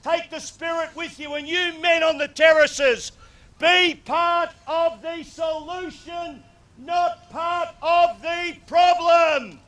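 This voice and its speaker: A man giving a loud, impassioned tribute speech outdoors on a football ground without a microphone, heard from a distance. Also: steady tone, takes it slowly. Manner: booming, impassioned, declamatory. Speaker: speaker at a memorial tribute before a football match. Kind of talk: loud unamplified public address to a crowd. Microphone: no microphone, picked up from a distance.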